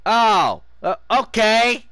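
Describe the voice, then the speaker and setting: Dude gaming and reacting, slow casual talk, sharp gravelly voice, gets super animated. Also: male voice, sits high, no room sound, studio quality. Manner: exclamatory, amused. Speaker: gamer. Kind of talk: casual reaction commentary over a game.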